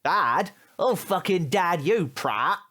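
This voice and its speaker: A man's voice: high-pitched, animated, slow, sharp tone, exaggerated voice. Man playing an indignant British teenage tearaway, swooping delivery. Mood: angry, swearing. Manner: indignant, slangy, wheedling. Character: cheeky, aggrieved, foul-mouthed male teenage tearaway. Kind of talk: comic audio drama acting.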